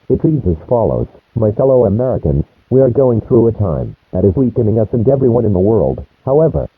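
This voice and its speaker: A man's voice: on the low side, breathy edge, no echo, heavily acted. A man playing a solemn government announcer, formally reading out a scripted emergency broadcast. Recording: muffled phone-like emergency broadcast audio.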